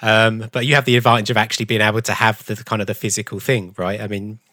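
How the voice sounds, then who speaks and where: A man moderates a conversation as host, with a slightly low voice in a dry, close sound. He puts his questions conversationally, as in an interview. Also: level tone, fast, sharp tone, no room sound.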